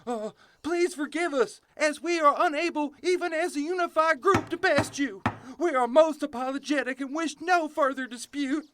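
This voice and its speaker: Excitable, high-voiced young farm boy, acted out. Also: moderate pace, few pauses, slight background noise. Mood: mock pleading. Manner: folksy talk mixed with grandiose speech. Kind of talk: comic western audio drama acting.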